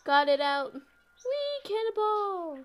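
A woman chatting casually while playing a game, excitable and given to exclaiming. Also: high voice, slow. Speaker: gamer. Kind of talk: casual gameplay chat.

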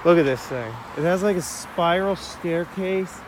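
The young guy you'd hear filming himself on an aimless stroll down a sidewalk, unhurriedly narrating whatever comes by, a little traffic murmuring around him. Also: high-pitched. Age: young adult. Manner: repetitive, unhurried narration of surroundings. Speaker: amateur vlogger. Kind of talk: casual rambling walk-along vlog. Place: outdoors, walking along a street and pier trail. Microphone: handheld phone at arm's length. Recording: noisy outdoor phone recording with traffic.

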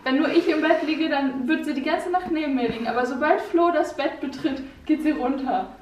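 A woman's voice: high-pitched, fairly quick, distant mic, a little hiss or hum. Chatty young woman in an echoey room. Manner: chatty, laughing, animated with hand gestures. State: has a cold. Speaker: vlogger. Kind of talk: casual vlog chat. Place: bright empty room in a house, indoors. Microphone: camera mic from a few steps away. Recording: echoey bare room, off-mic.

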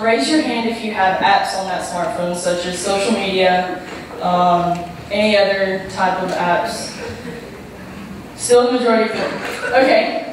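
A teenage American woman gives a student presentation, addressing her audience directly. She is heard from a distance in a heavily reverberant auditorium. There is clear noise around her. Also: mid-range voice. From Georgia, United States. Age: teens, high school student. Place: large auditorium stage, far camera. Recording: echoey hall, far-off mic, noisy.

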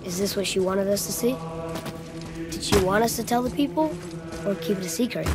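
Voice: medium pitch, a little fast, natural voice. A young performer playing an earnest, curious young boy, the son of a warrior, in a video game, talking casually and full of questions. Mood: puzzled, searching. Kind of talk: dramatic video game acting. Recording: loud music behind the voice.

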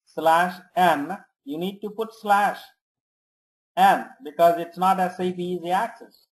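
Software trainer for screen tutorial: unhurried, slow delivery, short instructional commands with frequent check-ins. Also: mid-range voice, fairly even intonation, poor audio. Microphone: screen-recording voice-over.

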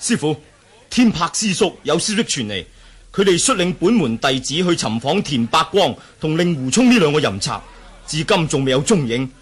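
An actor with a Hong Kong Cantonese accent playing a respectful, urgent disciple in a martial arts radio drama. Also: male voice, on the high side, big pitch swings, moderate pace, husky. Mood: anxious. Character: deferential male disciple reporting to his master. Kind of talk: 1980s radio drama acting.

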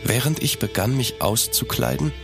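A man playing an uneasy gentleman traveller, narrating a horror audio drama in a measured, suspenseful way in German. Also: medium pitch, vocal fry, music in the background, lightly acted. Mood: watchful.

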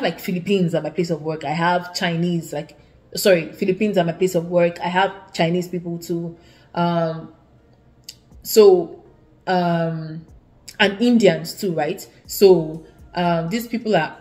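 A woman's voice: fairly low pitch, unhurried, a little mellow. Earnest young Nigerian woman with an Igbo accent. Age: young adult. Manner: earnest, repeats herself, many restarts. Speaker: student vlogger. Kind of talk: casual advice to camera. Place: indoor living room.

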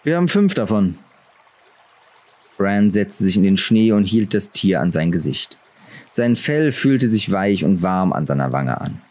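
A man's voice: low voice, lively intonation, a little slow, a little mellow. A man reading a fantasy novel aloud as a dramatic audiobook narrator.

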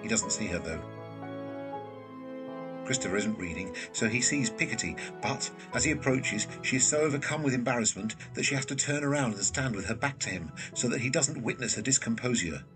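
A man's voice: mid-range voice, lively intonation, fairly quick, over music. A man reading scripted stage directions as narrator of an audio drama.

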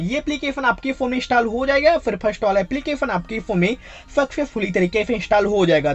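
A man hosting a tech channel, giving a casual tutorial voice-over and mixing English phrases into his speech. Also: high-pitched.

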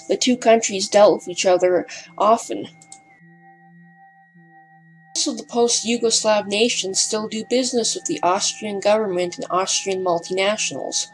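Woman narrator, mid-pitched, a bit hesitant and breathless, scripted voice-over over faint music. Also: a little mellow, low-quality recording. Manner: slightly hesitant, breathless delivery. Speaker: documentary narrator.

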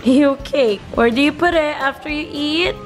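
A woman's voice: high-pitched, moderate pace, music in the background. A young woman vlogging at home, chatting in English and Tagalog while filming her toddler and cooking, laughing often. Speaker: family vlogger. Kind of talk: casual home vlog. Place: apartment kitchen and living room.

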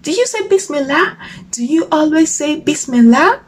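A woman voicing a grumpy, bossy crocodile who guards a bridge and talks slowly and gruffly. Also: high voice, expressive, pitched above the performer's own voice. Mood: stern.

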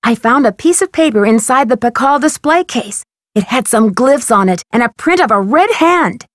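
A woman acting as an inquisitive young American girl detective in a video game. Her voice is high and neatly enunciated, with an eager, polite tone. The recording is dry and close, like studio game dialogue. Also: lively intonation, normal speed, no echo, natural voice. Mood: earnest, inquisitive. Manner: bright, inquisitive, clearly enunciated.

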